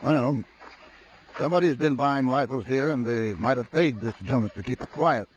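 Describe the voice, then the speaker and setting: An actor playing a stern, impatient army major who speaks in a brisk, clipped, suspicious way, in 1950s radio drama style, on a dull old broadcast recording. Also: male voice, mid-range voice, slight background noise, lightly acted.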